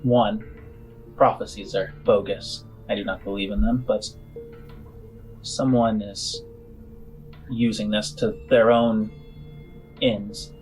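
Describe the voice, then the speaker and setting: A man voicing a shrewd, skeptical female boss in a tabletop game, explaining things in a measured, halting way. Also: lowish voice, fairly even intonation, a little mellow, faint music behind, low-quality recording. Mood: measured, serious.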